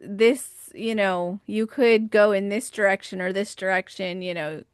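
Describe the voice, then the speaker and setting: Woman host, casual and supportive, mid pitch, dry close mic. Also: steady tone, measured pace, clear, resonant tone, no room sound. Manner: supportive, laughs, says "yeah" often. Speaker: podcast host. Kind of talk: casual interview chat.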